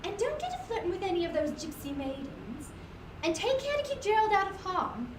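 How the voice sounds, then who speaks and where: A woman's voice: very high voice, very animated, a little fast, roomy sound, far from the mic, background noise, pitched above the performer's own voice. A woman reading the part of an affectionate, teasing young wife giving orders in a story.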